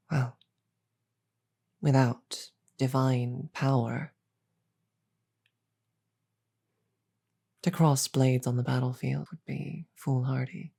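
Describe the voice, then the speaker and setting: A woman voicing a regal, teasing, magnanimous demon queen in a roleplay audio drama, with a deliberate, amused drawl. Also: extremely low pitch, creaky, slightly rough, dry recording, clean studio sound, full character voice. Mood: regal, measured. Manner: slow, deliberate, amused drawl with soft chuckles.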